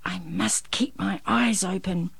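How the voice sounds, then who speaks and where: A woman's voice: mid-range voice, slow, vocal fry, husky, dry recording, heavily acted. A woman voicing an eager, polite little boy in a children's story. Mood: determined, sleepy. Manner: eager, wide-eyed.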